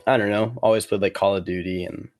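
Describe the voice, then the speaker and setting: A man's voice: lowish voice, steady tone, quick pace, a little mellow, no echo. A twenty-year-old man chatting casually as a podcast guest, rambling hesitantly and saying "like" a lot. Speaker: guest cornhole player. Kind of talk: casual podcast interview chat.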